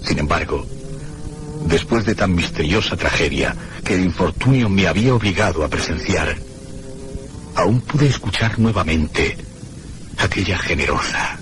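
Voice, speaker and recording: A spooked survivor telling his horror story, lowish voice, dramatic pauses, music behind, radio play. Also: animated, background noise. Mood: haunted. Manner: dramatic, literary, pauses between phrases. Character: haunted male survivor recounting a horror, anguished. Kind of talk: first-person scripted horror radio narration.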